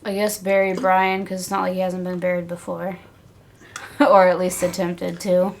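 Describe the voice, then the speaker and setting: Woman, creaky mid-pitched voice, dry wry remarks, casual podcast chat, noticeable background noise. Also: completely flat delivery, normal speed. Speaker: podcast co-host.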